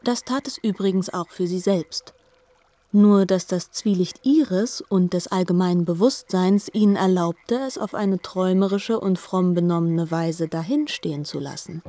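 A woman playing a learned, reflective narrator in a literary radio drama, measured and articulate. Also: fairly low pitch, warm tone, no room sound, natural voice. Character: learned, reflective female narrator of a biblical epic.

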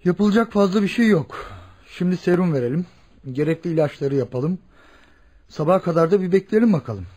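A senior male physician in a radio drama. He is cautious and authoritative, with a dark, slightly breathy voice. His delivery is measured and deliberate. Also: high voice, expressive. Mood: matter-of-fact. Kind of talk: Turkish radio theatre acting.

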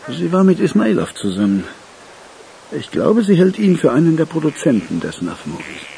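A man's voice: on the high side, very animated, moderate pace, vocal fry, warm tone, a little hiss or hum, poor audio. A man acting an anxious, attentive police colleague in a German radio crime drama. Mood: uncertain.

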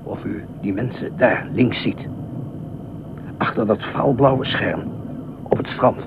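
A man's voice: lowish voice, takes it slowly, vocal fry, dull audio. Noisy old radio-play fussy, meddlesome man. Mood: urgent, secretive. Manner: formal, insistent, probing questions. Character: fussy, anxious, meddlesome male beach regular pestering a stranger. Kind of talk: Dutch radio play acting. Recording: noisy, thin band-limited old radio recording.

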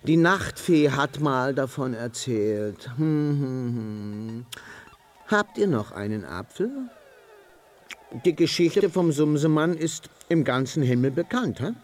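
A man's voice: mid-range voice, lively intonation, takes it slowly. An actor playing an ancient, grumpy but good-hearted Sandman in a children's radio play, blustering and muttering to himself. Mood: mollified, musing.

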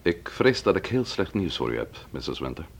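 An actor playing a sober, polite but insistent police inspector in a radio play, speaking with grave restraint. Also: male voice, low-pitched, very animated, brisk, slight background noise. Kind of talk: radio drama acting.

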